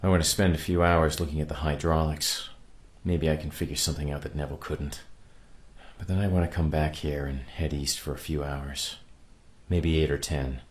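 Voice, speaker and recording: Very deep-voiced, weary space officer played by a man. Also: flat delivery, normal speed. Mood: calm, decisive. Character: commanding officer of a stranded space crew, pragmatic, weary man. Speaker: actor in a radio play. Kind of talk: naturalistic radio play acting.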